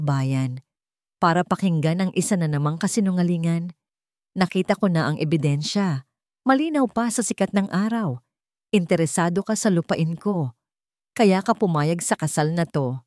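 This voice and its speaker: A woman's voice: lowish voice, moderate pace, a little mellow, no echo, studio quality, lightly acted. A woman voicing a hurt, bitter bride-to-be in a story, accusing and sarcastic.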